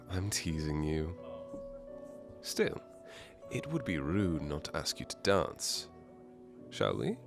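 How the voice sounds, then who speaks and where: Male actor as charming masked nobleman, playful courtly manner, slow pace, lively swooping intonation, ASMR romance roleplay. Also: extremely low pitch, full character voice. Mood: flirtatious, teasing. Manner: suave, playful, courtly phrasing with soft hesitations.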